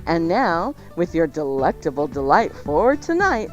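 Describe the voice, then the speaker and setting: A woman's voice: lowish voice, big pitch swings, unhurried, muffled recording, faint music behind. A woman co-hosting a radio show, announcing and reading ads in an upbeat, playful, emphatic way.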